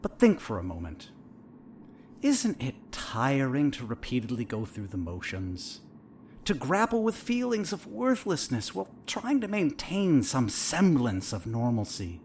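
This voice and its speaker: Audio drama therapist, played by a man: measured, clinical, quietly sinister, very wide pitch swings, faint noise behind. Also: wildly swooping pitch. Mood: coaxing, needling. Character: calm, probing, sinister male therapist, coolly manipulative.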